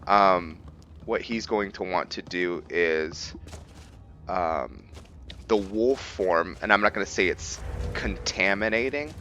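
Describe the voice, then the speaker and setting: A man doing casual commentary as he plays a roleplaying game, musing aloud in a halting way about his character. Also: low-pitched, sharp tone, a little hiss or hum. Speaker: gamer hosting a roleplay playthrough.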